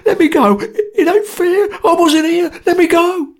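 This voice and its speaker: A narrator voicing a terrified young conspirator who stammers and squeaks in panic, in a storybook reading. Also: a little slow. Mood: panicked. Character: terrified young Cockney conspirator, shrill and shaky.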